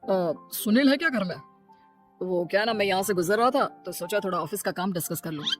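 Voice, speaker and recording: A woman's voice: medium pitch, brisk, faint music behind, close to an everyday voice. A woman voicing a male office boss, formal and stern, in a cartoon story. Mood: polite. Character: male office boss, polite visitor, stern when insulted.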